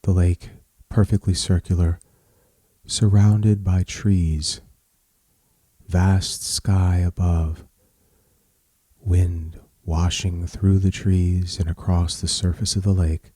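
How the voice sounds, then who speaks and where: Very slow, deep-voiced man guiding a meditation. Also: level tone, dark tone, no room sound. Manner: measured, soothing, pausing between short phrases. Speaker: meditation guide. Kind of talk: guided meditation narration.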